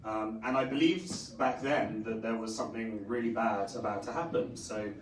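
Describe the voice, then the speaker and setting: Hoarse man speaking in an echoey hall. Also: lowish voice, fairly even intonation, normal speed. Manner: rambling with many fillers. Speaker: conference speaker. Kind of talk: conference talk. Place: conference stage. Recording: echoey hall, distant mic, faint noise.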